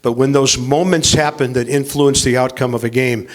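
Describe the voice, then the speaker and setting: An older man in his sixties, a veteran baseball announcer, explaining his views in a measured way during a panel discussion. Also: medium pitch, fairly even intonation.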